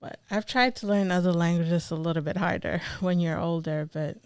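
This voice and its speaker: A woman who grew up in Ethiopia co-hosts a podcast and chats in an easygoing way. Her voice is smooth and resonant, and the recording is close and dry. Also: fairly low pitch, steady tone, moderate pace, no room sound. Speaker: podcast co-host. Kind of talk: casual conversation.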